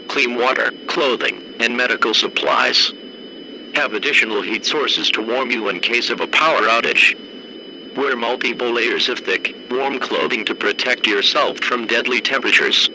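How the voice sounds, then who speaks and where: Male emergency-broadcast announcer, acted: formal, flat, measured, stern, over clearly noisy radio-style audio. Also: medium pitch. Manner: measured, deadpan, clipped official delivery.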